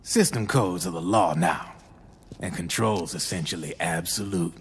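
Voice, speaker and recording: A man's voice: deep voice, measured pace, a little hiss or hum. An actor playing a slick, cynical arms dealer in a video game, talking like a slangy street salesman. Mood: smug, talkative. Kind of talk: video game cutscene acting. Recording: loud music underneath. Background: music.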